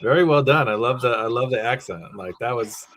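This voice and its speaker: A man's voice: mid-range voice, brisk, fairly bright tone, a little hiss or hum, rough recording. A man co-hosting a casual watch-party livestream, easygoing and filler-heavy as he reads out chat.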